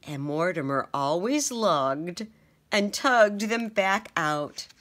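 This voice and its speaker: Woman teacher reading aloud, lowish voice, very lively pitch, slow and gentle. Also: slight background noise. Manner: expressive, gentle storytelling. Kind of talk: children's picture book read-aloud.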